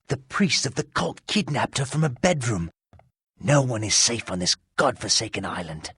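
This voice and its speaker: Fantasy game villager, male: everyman, anxious, grateful, husky, dry sound. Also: mid-range voice, a bit bright, dry recording, full character voice. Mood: alarmed. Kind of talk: fantasy video game character acting.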